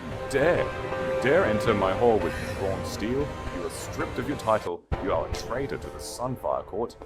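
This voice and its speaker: A man voicing a hysterical, imperious false queen who shouts commands in a fantasy audiobook. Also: on the low side, big pitch swings, moderate pace, over loud music, voice pushed up. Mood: hysterical, commanding.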